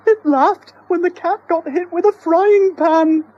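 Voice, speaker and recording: A man voicing a shrill, panicky, bossy wife in a screeching falsetto. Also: unhurried, muffled recording. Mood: shrieking. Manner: bossy, exasperated.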